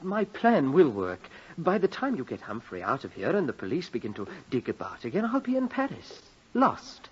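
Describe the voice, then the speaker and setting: An actor playing a polite, calculating young gentleman heir in an old radio drama. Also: on the high side, wildly swooping pitch, normal speed, hardly any pauses, a little hiss or hum. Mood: eager, confident. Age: young adult. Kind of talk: 1950s radio drama acting. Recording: muffled vintage radio recording.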